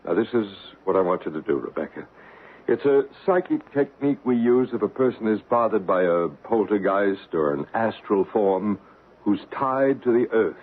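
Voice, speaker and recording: An actor playing a calm, authoritative paranormal investigator in a vintage radio drama, speaking in a measured, probing way. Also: male voice, fairly low pitch, slightly rough. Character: calm, authoritative male paranormal investigator narrating his case. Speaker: psychic investigator and narrator. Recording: noisy, narrow-band old radio broadcast.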